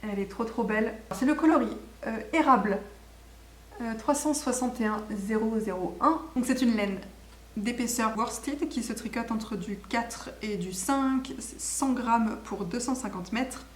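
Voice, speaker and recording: A woman's voice: on the high side, unhurried. A woman chats casually and enthusiastically as host of a knitting podcast, rambling and correcting herself as she goes. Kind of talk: casual video podcast chat. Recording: echoey room with faint background noise.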